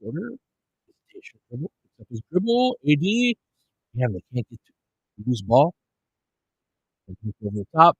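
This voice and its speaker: A man's voice: mid-range voice, huge pitch swings, moderate pace, long pauses, slightly rough, no echo, highs cut off. A man giving live basketball commentary and analysis in an informal, conversational way. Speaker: play-by-play commentator and host.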